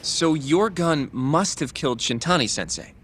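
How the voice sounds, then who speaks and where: An actor playing an earnest, naive young rookie lawyer in a video game cutscene, polite, eager and a little hesitant. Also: male voice, on the high side, animated, a little slow, a bit bright, slight background noise. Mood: nervous, puzzled. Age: young adult.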